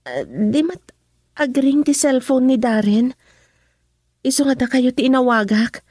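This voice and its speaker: High, close-miked young Filipino woman, a worried, suspicious daughter for radio drama. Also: animated, a little slow, a little mellow, no room sound. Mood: uneasy.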